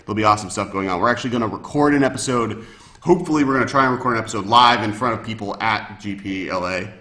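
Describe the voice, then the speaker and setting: A man's voice: lowish voice, rapid-fire, sharp tone. A man hosting a podcast in casual conversation about a card game. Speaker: podcast host. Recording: roomy echo.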